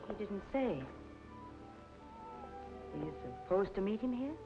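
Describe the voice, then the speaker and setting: A woman's voice: medium pitch, expressive, unhurried. A woman playing a tough but kindly, world-weary nightclub worker, blunt and slangy, in old film noir style. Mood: casual, guarded. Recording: dull vintage film audio, music behind.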